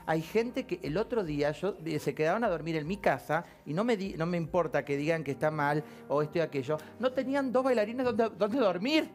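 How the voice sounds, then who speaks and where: A man's voice: fairly high pitch, expressive, a little fast, hardly any pauses. A middle-aged man with an Argentine accent, talking on a TV studio panel, indignant and emphatic, swearing now and then. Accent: Argentine Spanish. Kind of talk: heated TV panel talk. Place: TV studio set.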